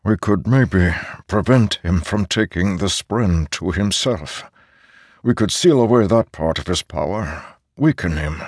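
A man voicing an aged, grave immortal herald, slow-spoken. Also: low voice, animated, no echo, clean studio sound, full character voice. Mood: urgent, resolute. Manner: solemn, deliberate.

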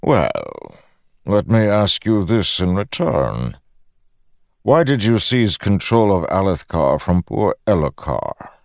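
Ancient fantasy god, male narrator in character, paternal menace, theatrical, unhurried, widely swinging pitch. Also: very animated, vocal fry, dry recording, clean studio sound, exaggerated voice. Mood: persuasive, condescending. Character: ancient malevolent god, smiling, paternal and smooth-talking. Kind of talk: audiobook fantasy dialogue.

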